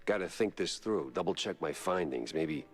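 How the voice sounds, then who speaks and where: An actor voicing a gruff, weary hardboiled male detective in a video game, dry and sardonic. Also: deep voice, lively intonation, normal speed, faint music behind. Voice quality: gravelly and husky.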